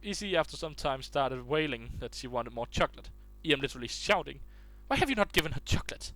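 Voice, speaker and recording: A man's voice: medium pitch, sing-song, fast talker, sharp tone, no room sound. A man reading online posts aloud in a casual way, stumbling and restarting words as he goes.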